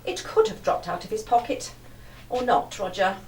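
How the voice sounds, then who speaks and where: A woman's voice: medium pitch, big pitch swings, normal speed, darkish tone, close to an everyday voice. A woman acting in a live radio play as a clever, teasing young lady detective, with a British accent and breezy, genteel old-fashioned phrasing. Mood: breezy, scheming. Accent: British English. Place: live stage performance recorded from the audience area. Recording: distant echoey hall, faint noise.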